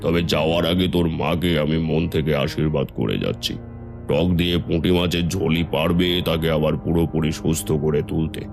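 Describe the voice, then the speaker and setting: Man voicing a very deep, gravelly holy man. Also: fairly even intonation, a little fast, music in the background. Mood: solemn, fatherly. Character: wandering holy man, cryptic and fatherly. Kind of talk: Bengali cartoon folk-tale voice acting.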